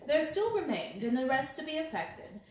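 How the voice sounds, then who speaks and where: A woman's voice: high-pitched, very animated, moderate pace, a little mellow, a little hiss or hum. A woman reading a story aloud as scripted audiobook narration. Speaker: audiobook reader. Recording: very echoey, reverberant room.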